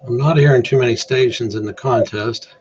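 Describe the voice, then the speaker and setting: A man's voice: medium pitch, brisk, darkish tone. A man giving a casual, unscripted tutorial, thinking aloud as he works through a demonstration. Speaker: amateur radio contest demonstrator. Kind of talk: casual screen tutorial narration. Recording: dull lo-fi mic with faint noise.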